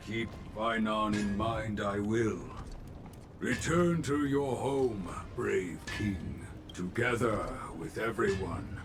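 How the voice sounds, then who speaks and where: A loyal fantasy craftsman, speaks very slowly in a lowish voice with wide, expressive intonation. The delivery is formal and archaic, as game dialogue over music. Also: gravelly, full character voice. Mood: solemn farewell. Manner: slow, ceremonious, inverted word order. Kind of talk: dramatic video game voice acting.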